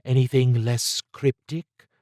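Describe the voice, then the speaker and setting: A man's voice: medium pitch, fairly even intonation, takes it slowly, mellow, no echo, studio quality, pitched above the performer's own voice, natural voice. A man voicing a guarded woman agent, blunt and clipped, with an edge to her words. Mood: pressing.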